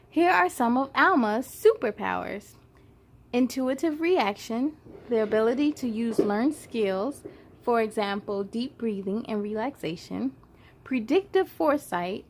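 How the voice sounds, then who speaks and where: A woman hosting a children's story time, reading and chatting expressively and speaking to young viewers in an encouraging way. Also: on the high side. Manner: encouraging, addresses children directly. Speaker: children's storytime host and reader. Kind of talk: expressive read-aloud narration for young children.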